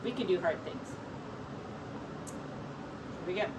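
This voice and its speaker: A woman teacher reading a picture book aloud to young children in an expressive, encouraging way. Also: fairly low pitch, measured pace, lo-fi sound. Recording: distant mic with clear background noise.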